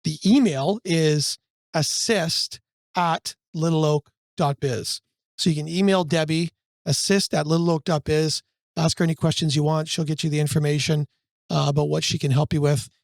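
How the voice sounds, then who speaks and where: A man co-hosting a real estate brokerage podcast in a casual chat, rambling with fillers, his voice a little hoarse from a cold. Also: a little high, fairly even intonation, moderate pace, no room sound, clean studio sound. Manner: rambling, searching for words, many fillers.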